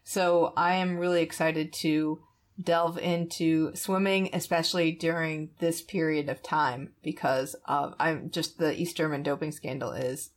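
A woman's voice: deep voice, steady tone, a little slow. A woman hosting a podcast in a casual, conversational style, chuckling often as she talks. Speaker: podcast host.

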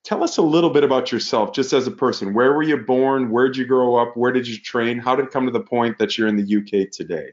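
Fast-talking male podcast host. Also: medium pitch, a little mellow. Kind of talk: reading a guest introduction. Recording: dull lo-fi audio.

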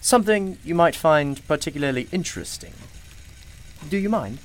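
A man's voice: a little high, lively intonation, moderate pace, lightly acted. A man playing a genial, knowing, mysterious shopkeeper in a radio play, with a courtly, theatrical and cryptic manner. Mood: inviting.

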